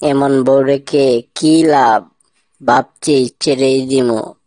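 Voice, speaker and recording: একজন পুরুষের অভিনয়ে খিটখিটে, হতভম্ব কার্টুন লোক. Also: male voice, mid-range voice, steady tone, slow, darkish tone, no room sound, lightly acted. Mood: grumbling, puzzled. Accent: Bangladeshi. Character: grumpy, baffled man, stern boss and troubled friend. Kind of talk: comic cartoon skit dialogue.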